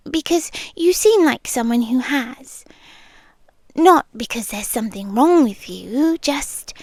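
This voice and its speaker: British woman, high soft half-whisper, unhurried, nervously chatty ASMR roleplay, dry close mic. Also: slightly rough, no room sound. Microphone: close ASMR microphone.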